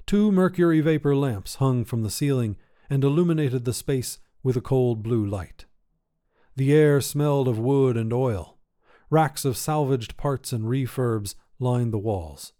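A man's voice: mid-range voice, measured pace, mellow. A man narrating a scripted short story as its reader.

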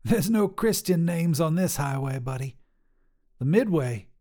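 A man's voice: on the high side, expressive, unhurried, mellow, pitched above the performer's own voice. A man voicing a wiry, mocking woman trucker who turns out to be a demon, with a drawling, teasing, folksy manner. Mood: sly teasing.

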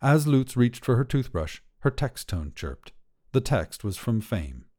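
A man reading short fiction as a podcast narrator, in a measured, careful way. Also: mid-range voice, sing-song, a little mellow.

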